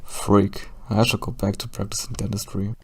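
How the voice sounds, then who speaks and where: A man's voice: fairly low pitch, level tone, moderate pace, gravelly, slightly rough. Man playing grudging theatrical wedding priest. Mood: disgusted. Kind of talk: audio drama acting.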